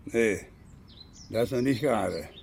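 An actor playing a gruff, nosy, stubborn old farmer with a gravelly voice in a radio play. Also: male voice, medium pitch, expressive, slow pace, a little mellow, exaggerated voice. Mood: gruff, meddling. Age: older. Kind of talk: German radio drama acting.

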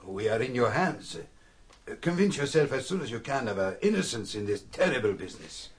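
An actor playing a reserved, polite scholarly priest in a radio drama, speaking carefully and formally with some hesitation. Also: male voice, mid-range voice, sing-song, fairly quick, husky. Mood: polite, guarded.

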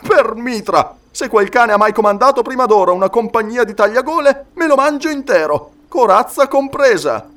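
A brash, swaggering mercenary commander, played by a man reading a fantasy story, pitched high. He is big, cynical and blunt, and pushes his words out forcefully. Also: lively intonation, moderate pace, few pauses, far from the mic. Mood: grimly jovial.

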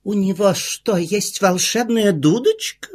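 A woman voicing a calmly sceptical forest bird in a children's audio play. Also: medium pitch, a little slow, close to an everyday voice. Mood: curious.

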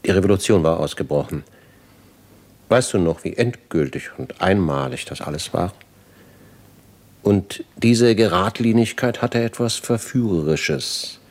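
Weary older father-in-law, vintage radio play: deep, grave, articulate, reflective delivery. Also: lively intonation, measured pace, full character voice. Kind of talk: 1950s radio drama acting.

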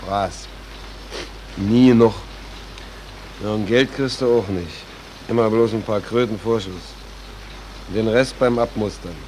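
A man's voice: fairly low pitch, slow pace, pauses often, a little mellow. A man playing a weary, blunt stokehold worker, laconic and matter-of-fact, in a 1940s radio play. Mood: resigned. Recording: slightly noisy vintage radio recording.

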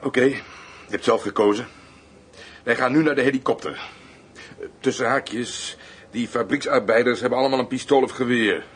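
A man's voice: medium pitch, moderate pace, long pauses, slightly rough, natural voice. A man playing a mocking, coarse, cold gangster henchman in a radio drama, taunting and threatening.

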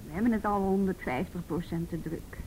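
A woman's voice: on the low side, brisk, darkish tone, slight background noise, close to an everyday voice, poor audio. An actress playing a weary, matter-of-fact policewoman with a sardonic edge in a radio drama. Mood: weary, resigned. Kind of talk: naturalistic radio drama acting.

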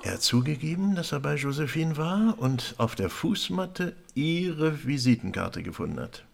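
An actor playing an animated police commissioner who explains a case in colloquial words, in radio crime drama dialogue. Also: male voice, fairly high pitch, moderate pace, vocal fry, a little hiss or hum. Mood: explaining, amused.

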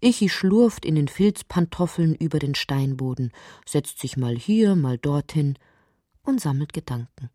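A woman narrating a poetic, absurd literary radio drama in deliberate, measured phrases. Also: low voice, expressive, warm tone, no echo, clean studio sound. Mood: musing, wry.

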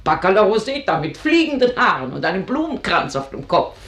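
In an echoing room, a plain-spoken older female servant gossips in a low womanly voice. Her manner is confiding, in the style of a German radio play. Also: sing-song, brisk, fairly bright tone. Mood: gossipy, confiding. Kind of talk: German radio drama acting.

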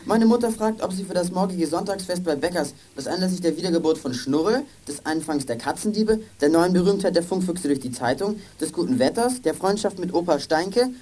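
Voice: mid-range voice, lively intonation, brisk, hardly any pauses, warm tone, close to an everyday voice. A performer playing a cheeky, joking teenage boy in a children's radio play, playfully wordy. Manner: playfully wordy, rattling off lists.

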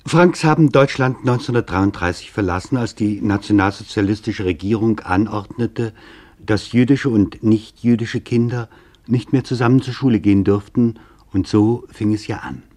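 A husky man's voice, a little low, delivers scripted documentary narration. He is solemn and formal, shaping literary sentences deliberately as a radio presenter. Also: a little mellow.

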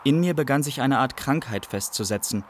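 A man playing a haunted young Iraqi refugee who narrates gravely and deliberately in a literary radio drama. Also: mid-range voice, expressive, a little hiss or hum, close to an everyday voice. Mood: bleak.

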